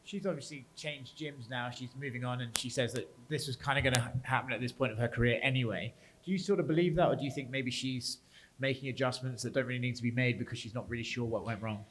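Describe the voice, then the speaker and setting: Fast-talking male interviewer. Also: mid-range voice, a little hiss or hum. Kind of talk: interview questions.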